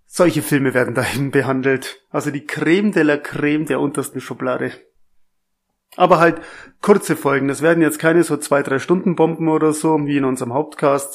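A man co-hosting a horror movie podcast over a remote line, explaining things in a relaxed, easygoing way. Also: medium pitch. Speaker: podcast co-host. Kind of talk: casual podcast chat.

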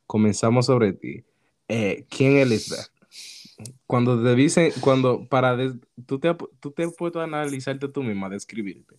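A man's voice: medium pitch, moderate pace, darkish tone. A man hosting a casual podcast interview, playful and teasing, slipping English words into his Spanish. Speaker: podcast host.

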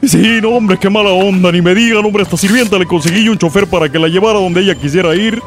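A man's voice: sits high, fast, faint music behind, natural voice. A man playing a hapless, whiny fired husband. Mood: glum. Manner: sighing "hombre", pet names, comic slips. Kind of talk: radio comedy sketch acting.

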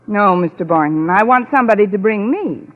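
An actress playing a quick-witted, sarcastic middle-aged English teacher in a 1940s radio comedy. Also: female voice, medium pitch, lively intonation, close to an everyday voice. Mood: dryly teasing. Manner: dry, sarcastic wisecracks. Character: wry, quick-witted woman high school English teacher. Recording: scratchy old radio broadcast, tinny, audience behind.

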